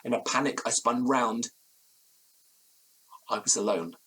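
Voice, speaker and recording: An actor playing a fearful, haunted gentleman, telling his ghostly tale in formal, grave gothic prose. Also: mid-range voice, a little slow, slightly rough. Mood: shaken, dread. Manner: formal, literary, grave phrasing. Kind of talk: dramatic first-person gothic storytelling.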